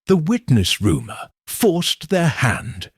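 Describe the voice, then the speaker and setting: A man voicing a calm, shrewd woman amateur sleuth in a mystery audiobook, explaining things in a measured, assured way. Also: mid-range voice, breathy edge, no room sound, clean studio sound, pitched above the performer's own voice, full character voice. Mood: calm, triumphant.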